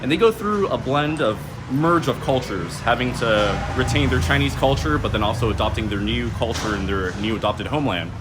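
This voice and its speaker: A man talks to camera in a relaxed, joking way, often teasing the person with him. His voice sits at an ordinary middle pitch. Heavy traffic and street din roar around him. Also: normal speed, hardly any pauses. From United States. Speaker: travel vlogger. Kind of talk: casual walking vlog to camera. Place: outdoors on a busy city street. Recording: loud outdoor street noise. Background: loud traffic and street noise.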